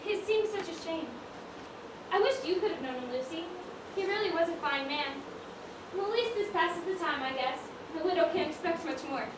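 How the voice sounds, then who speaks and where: A woman's voice: extremely high pitch, animated, moderate pace. A woman on stage playing a prim, wistful widowed dress-shop owner, full of old-fashioned exclamations. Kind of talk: amateur stage acting, one-act school play. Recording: roomy stage, distant mic, clear noise.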